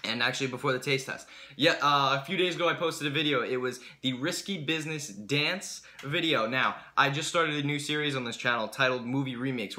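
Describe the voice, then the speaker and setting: Bright-voiced young man vlogging. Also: mid-range voice. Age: young adult. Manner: enthusiastic, earnest. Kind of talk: casual vlog talk to viewers. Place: home kitchen, indoors.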